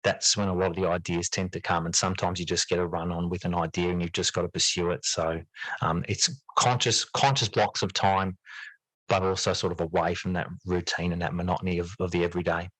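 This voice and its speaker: Low-voiced, reflective man in an interview. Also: level tone, fairly quick, fairly bright tone, no room sound, poor audio. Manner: earnest, repeats and rephrases himself as he thinks. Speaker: podcast guest, teacher and writer.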